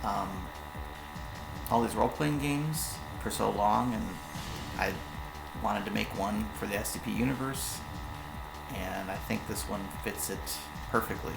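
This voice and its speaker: A man explaining the combat rules of his own tabletop role-playing game on a stream, rambling through quick examples with many fillers, his voice hoarse and fading. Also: lowish voice, echoey room, over loud music, poor audio. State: hoarse, voice going.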